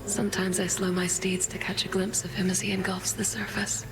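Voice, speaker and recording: A gentle, wistful moon goddess, played by a woman, speaking softly in a near whisper. Her pitch stays even and flat throughout. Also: a little fast, crowd noise, natural voice, poor audio.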